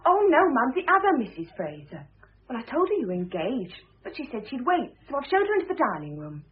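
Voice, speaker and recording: An actress playing a deferential, anxious young housemaid in an old-fashioned radio drama. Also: female voice, sits high, huge pitch swings, quick pace, husky, full character voice. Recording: thin phone-like vintage radio sound.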